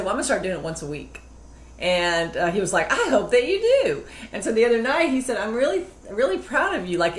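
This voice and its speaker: Middle-aged woman, chatty storyteller, lowish voice, roomy echo, faint hum behind. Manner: chatty storytelling, acting out quotes. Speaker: co-host. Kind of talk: casual chat about marriage. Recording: echoey room with faint ambience.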